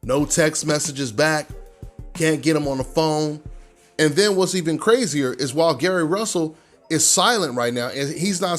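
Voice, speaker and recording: A man with a slightly high voice hosts a boxing news channel over background music. His commentary is conversational and emphatic, and he circles back to points to drive them home.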